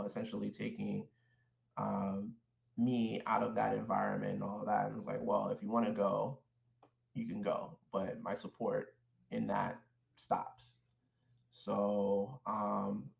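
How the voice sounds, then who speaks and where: Guest, male, Haitian-born; gravelly, even delivery, thoughtful with restarts; muffled echoey remote audio. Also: fairly low pitch. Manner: measured, thoughtful, with many fillers and restarts. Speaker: guest, clinical social worker. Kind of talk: reflective personal storytelling.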